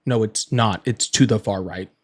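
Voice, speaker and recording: A man's voice: on the low side, normal speed. A man in his late thirties hosting a live political stream, firmly correcting his guest and citing data. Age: 30s. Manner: firm, corrective, cites data. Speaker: host.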